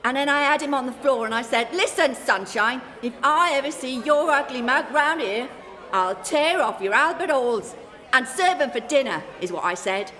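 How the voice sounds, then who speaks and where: A feisty Cockney landlady in a comic radio play. Her voice is high, forceful and brash, full of slangy threats. It rings in a reverberant hall with a crowd behind her. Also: lively intonation, moderate pace. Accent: London. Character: feisty, foul-tempered cockney pub landlady.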